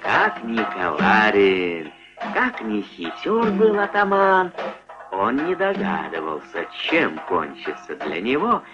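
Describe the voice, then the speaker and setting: A man's voice: fairly low pitch, huge pitch swings, slow pace. A man narrating a scripted fairy tale in a storytelling style, acting out the dialogue. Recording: dull old film soundtrack with music behind.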